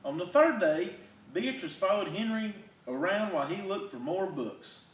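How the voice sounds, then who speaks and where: A man reads a picture book aloud with a lot of movement in a slightly high voice. The recording is roomy, with the mic some way off. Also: expressive, normal speed. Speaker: firefighter reading a children's book. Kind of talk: animated storybook read-aloud. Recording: roomy, distant mic, faint noise.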